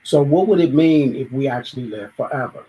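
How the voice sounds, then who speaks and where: A man's voice: medium pitch, animated, brisk, dull audio. A man hosting a reflective solo monologue, thinking aloud and acting out little everyday dialogues. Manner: thoughtful, acts out everyday dialogues, sometimes restarts. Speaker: show host.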